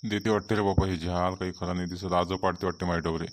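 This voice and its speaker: A man's voice: low voice, level tone, quick pace, natural voice. A man playing a harried, fretful village farmer in a comic cartoon, muttering complaints in a Vidarbha Marathi accent. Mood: resigned.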